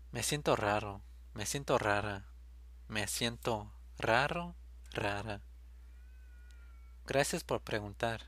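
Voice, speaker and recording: A man reading short phrases aloud as a language drill, each one said slowly and clearly, then repeated. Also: medium pitch, fairly bright tone, no echo.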